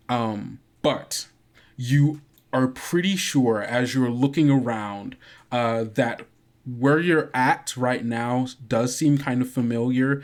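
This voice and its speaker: A man narrating a tabletop game as game master, informal and halting as he improvises the scene. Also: medium pitch, darkish tone.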